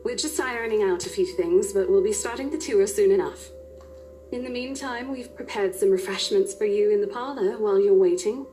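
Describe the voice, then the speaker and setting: A woman's voice: medium pitch, a little fast, warm tone, a little hiss or hum, natural voice, low-quality recording. An actress voicing a protective, firm and professional young female real estate agent in a visual novel game. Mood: courteous, professional. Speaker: video game voice actor.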